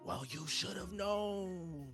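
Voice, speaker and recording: A man's voice: high-pitched, slow pace, a bit bright, faint music behind, full character voice. A man acting a hard-ass, grumpy department store manager at a table read of a comedy script, curt, bossy and exasperated. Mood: scolding.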